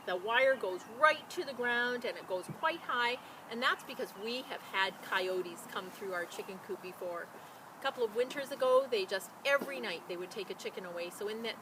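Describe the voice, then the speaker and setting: A woman speaking as a school storyteller outdoors, explaining and reading in a simple, animated way for children. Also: mid-range voice. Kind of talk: casual introduction and expressive children's read-aloud. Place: outdoors beside a chicken coop. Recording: noisy outdoor recording with animal sounds.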